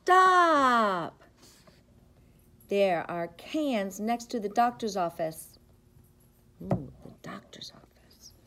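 A middle-aged woman, a preschool teacher, reads a picture book aloud to young children with expressive, rhythmic, sing-song phrasing. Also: mid-range voice, extremely slow, long pauses, a little hiss or hum. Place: indoors, school classroom. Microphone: device camera at about arm's length.

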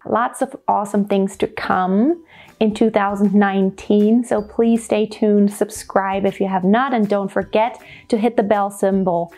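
A woman in her thirties with a light German accent, teaching a singing lesson to camera from a room with a piano and demonstrating sounds with lively gestures. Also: mid-range voice, unhurried. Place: indoor home room with piano.

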